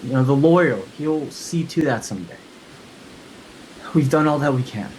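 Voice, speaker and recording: A man playing a calm, devout father and husband, reassuring and resolute, in a film script table read. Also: mid-range voice, normal speed, slight background noise, close to an everyday voice, rough recording. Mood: solemn reassurance.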